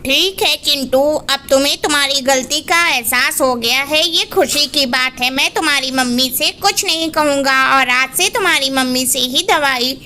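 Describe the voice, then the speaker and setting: A woman's voice: sits high, normal speed, few pauses, bright, forceful tone. A woman voicing a grown-up male forest bird in a cartoon story, who talks in an agreeable, reassuring way. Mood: kindly.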